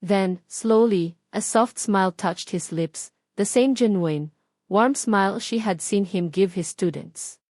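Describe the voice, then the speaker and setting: A woman's voice: mid-range voice, measured pace, darkish tone, no room sound. Dry-sounding Filipino woman narrating romance. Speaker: audiobook narrator. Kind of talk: dramatic scripted romance narration.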